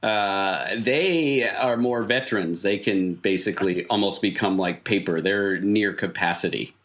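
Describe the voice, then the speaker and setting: A man improvising narration as game master of a tabletop roleplaying game, halting as he thinks aloud and repeating words for emphasis. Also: sits low, fairly bright tone.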